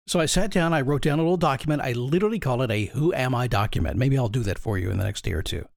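A man hosting a motivational podcast, with a raspy voice, talking straight to the listener and asking them question after question. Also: medium pitch, rapid-fire, no echo, studio quality. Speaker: motivational podcast host and coach. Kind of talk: motivational monologue.

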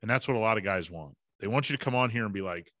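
A middle-aged man talks bluntly and emphatically on a podcast while running a fever. His voice sits low, and the recording is dry and close. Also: lively intonation, racing, a bit bright, no echo. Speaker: podcast co-host. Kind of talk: casual motivational talk.

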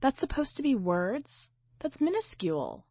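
A woman's voice: high-pitched, very animated, measured pace, slightly rough, dry recording, pitched above the performer's own voice, full character voice. A woman voicing a scornful, exasperated sister in a story, snapping and dismissive, on a slightly dull recording. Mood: disgusted. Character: scornful, exasperated girl, narrator's sharp-tongued sister.

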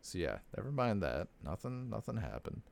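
A man co-hosting a podcast, chatting casually and excitably, with jokes and plenty of swearing. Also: lowish voice, normal speed, vocal fry. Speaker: podcast co-host. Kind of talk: casual unscripted chat about a cartoon series.

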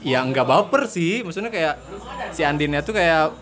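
A young man from Purwakarta, Indonesia, co-hosting a casual joking chat, telling stories in slangy, animated, giggle-prone fashion. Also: fairly high pitch, normal speed, music in the background. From Purwakarta, West Java, Indonesia. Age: young adult. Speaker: podcast host.

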